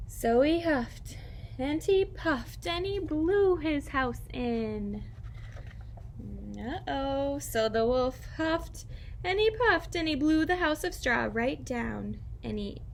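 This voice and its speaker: A woman teacher reading aloud and talking directly to young children in simple, expressive language. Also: high voice, takes it slowly, vocal fry, a little hiss or hum. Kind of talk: children's read-aloud and lesson.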